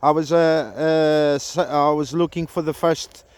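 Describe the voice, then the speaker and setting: Halting higher-pitched man on radio, clear voice. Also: steady tone, a little mellow, no echo. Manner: hesitant, halting, repeats himself. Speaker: CB radio operator testing an antenna. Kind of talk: radio contact chat. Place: outdoors in a park.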